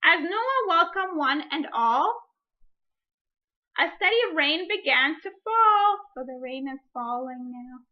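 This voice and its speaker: A woman's voice: sits high, lively intonation, slow. A woman speaking as a teacher, reading a picture book expressively and explaining reading strategies. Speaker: teacher demonstrating a read-aloud. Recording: dull lo-fi recording.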